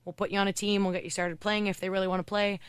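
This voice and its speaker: A woman hockey coach from Canada, a podcast guest, talking in a loud, chatty way with lots of "like" fillers. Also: mid-range voice, flat delivery, smooth, no echo. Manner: chatty, fast storytelling with many "like" fillers. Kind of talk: casual podcast interview.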